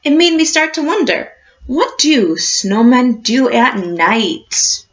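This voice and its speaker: A woman with a fairly high, very lively voice reads a storybook to young children in a teacher's encouraging way. Her delivery is expressive and instructive, and the recording sounds slightly dull and lo-fi. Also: slow pace. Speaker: teacher reading a picture book aloud to young children. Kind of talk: storybook read-aloud with questions to a class.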